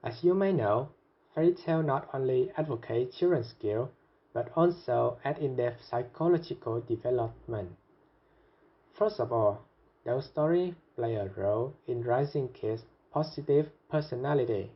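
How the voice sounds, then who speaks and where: A man's voice: mid-range voice, normal speed. A young man reading his part of a scripted student presentation. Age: young adult. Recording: dull, lo-fi audio.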